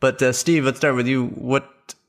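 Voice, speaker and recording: A man hosting a casual podcast chat, talking in a rambling, halting way. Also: medium pitch, steady tone. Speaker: podcast host.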